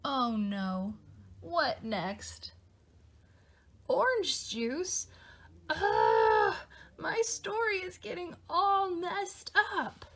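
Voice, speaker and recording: A woman voicing an excitable, dramatically exasperated little boy from a storybook, with theatrical, indignant exclamations. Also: very high voice, very slow, pauses often, a little hiss or hum, pitched above the performer's own voice, exaggerated voice. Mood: alarmed, exasperated.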